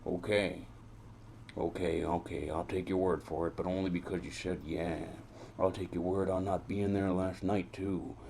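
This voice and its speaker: An actor playing a world-weary private detective in noir radio drama style, gruff and sardonic, talking in clipped tough-guy slang. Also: sits low, flat delivery, moderate pace, a little mellow, slight background noise. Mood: skeptical.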